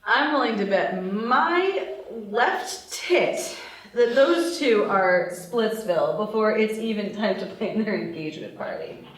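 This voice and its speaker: A woman's voice: mid-range voice, animated, slow. A woman playing a tipsy, foul-mouthed, scornful young woman at a table read. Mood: tipsy, cynical. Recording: very echoey room, distant mic, faint noise.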